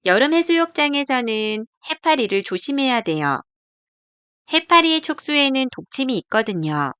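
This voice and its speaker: Lively high-voiced woman reading to children. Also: clear, resonant tone, dry recording. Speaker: storyteller reading a children's nature book. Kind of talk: scripted children's picture-book narration.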